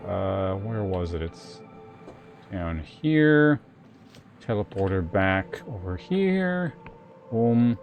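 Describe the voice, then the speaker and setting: Low-pitched man muttering through casual gameplay commentary. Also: a little mellow. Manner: thinks aloud in short muttered remarks. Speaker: game streamer.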